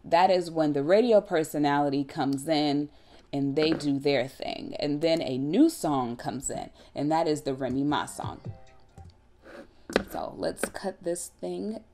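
A woman in her thirties giving a casual, conversational tutorial with colloquial, animated delivery into a close desktop mic. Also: deep voice, unhurried. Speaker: radio personality giving a production tutorial. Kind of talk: casual instructional talk. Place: small room at home, indoors. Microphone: desktop USB condenser mic, close.

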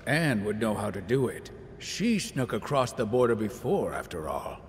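Wry old companion, reverberant. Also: male voice, on the low side, big pitch swings, normal speed, slight background noise. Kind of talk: animated video game cutscene acting. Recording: reverberant hall with music.

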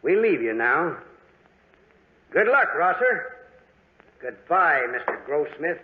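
An actor playing a formal small-town organizer of a duel, in 1940s radio drama style. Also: male voice, fairly high pitch, big pitch swings, slow, slight background noise. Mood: formal, solemn. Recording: tinny 1940s radio recording.

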